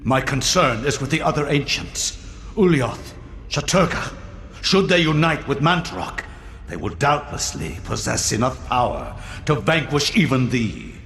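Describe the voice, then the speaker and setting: Game villain: reverent schemer, formal, very varied intonation, echoing noisy chamber. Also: male voice, mid-range voice, lively intonation, a little slow, gravelly, a bit bright. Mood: concerned plotting. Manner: formal, obsequious, archaic. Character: scheming male servant of dark gods, reverent, calculating. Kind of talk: scripted video game villain acting.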